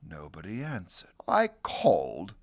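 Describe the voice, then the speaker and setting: Sly folksy storybook wolf, played by a man: wildly swooping pitch, slow drawn-out delivery, theatrical and confiding, all wronged innocence. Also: huge pitch swings, darkish tone, exaggerated voice. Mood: sly, conspiratorial. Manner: theatrical, drawn-out, conspiratorial asides with chuckles. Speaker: storybook narrator in character. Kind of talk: animated children's read-aloud.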